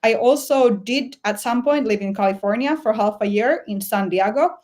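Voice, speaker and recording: A woman's voice: fairly high pitch, moderate pace, darkish tone. A woman from Finland with a Finnish accent, a guest musician answering interview questions thoughtfully and with some hesitation. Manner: thoughtful, hesitant, many "like" fillers.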